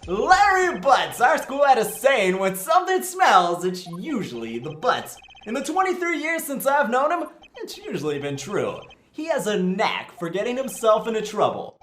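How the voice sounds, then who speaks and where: A man's voice: sits high, wildly swooping pitch, normal speed, hardly any pauses, a bit bright, slight background noise, exaggerated voice. Man as a nervous, wisecracking young lawyer, echoey. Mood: fond, determined. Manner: joking, rambling, flustered. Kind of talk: comedic fan-dub game narration and dialogue.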